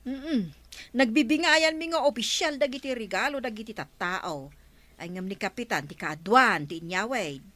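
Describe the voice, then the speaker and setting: Lively, chatty village official. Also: female voice, fairly high pitch, normal speed, dry recording. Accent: Filipino, Ilocano. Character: chatty woman village official, the captain's aide. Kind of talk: radio comedy drama acting.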